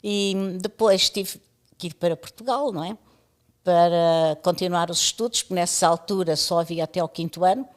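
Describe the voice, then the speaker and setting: A woman's voice: fairly low pitch, moderate pace. A woman in her seventies from East Timor, telling her life story as an interview guest, chatty and laughing often. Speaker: interview guest, radio pioneer. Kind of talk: storytelling conversation.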